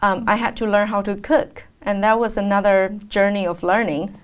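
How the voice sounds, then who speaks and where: A woman in her thirties, born in China and speaking English as a second language, answering an interviewer's questions in a thoughtful way with frequent pauses and fillers. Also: mid-range voice, little pitch movement. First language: Chinese. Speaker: guest, teacher.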